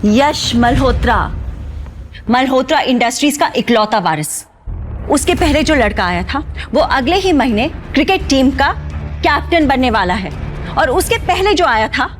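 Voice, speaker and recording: A woman's voice: high voice, lively intonation, measured pace, soft background music. A woman playing a proud, exasperated, wealthy middle-aged mother in an audio drama, indignant and dramatic. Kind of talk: Hindi audio drama acting.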